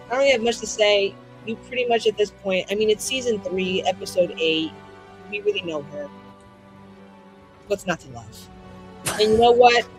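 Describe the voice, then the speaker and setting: A woman's voice: medium pitch, low-quality recording. A woman player in a tabletop roleplaying show, casually introducing things in an exuberant, sassy, slangy way.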